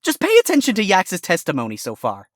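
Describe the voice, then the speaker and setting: An actor voicing a wisecracking male fox defense attorney who talks quickly and wryly. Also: mid-range voice, huge pitch swings, dry recording, clean studio sound. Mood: arguing. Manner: quick, wry, exclaiming objections.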